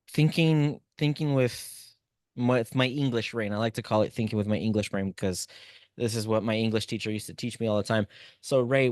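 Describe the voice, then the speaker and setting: A man whose first language is Spanish, teaching a live online class and talking to students in chat in a relaxed, encouraging way. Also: medium pitch, smooth, dry recording. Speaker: instructor.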